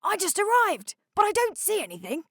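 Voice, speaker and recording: A woman's voice: extremely high pitch, huge pitch swings, a little fast, no room sound, clean studio sound, voice pushed up, heavily acted. A woman voicing a male hydrocopter, a drowsy, easily startled vehicle character in a children's storybook. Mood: puzzled.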